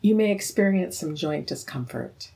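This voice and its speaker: A woman from Minnesota with a notably low voice, speaking calmly and earnestly. She introduces her poem before reading it. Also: moderate pace, vocal fry. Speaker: poet.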